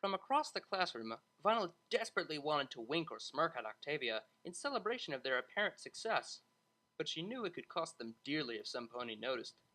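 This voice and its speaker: A narrator giving an expressive, dramatized reading of a scripted story. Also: medium pitch, fairly quick. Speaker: audiobook narrator.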